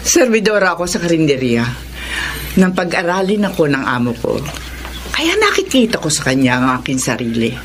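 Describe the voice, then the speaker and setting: A woman's voice: fairly low pitch, sing-song, normal speed, a little hiss or hum. An actress playing a kindly, teasing older mother with a Filipino accent in a radio drama. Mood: reflective. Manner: warm, nudging, motherly.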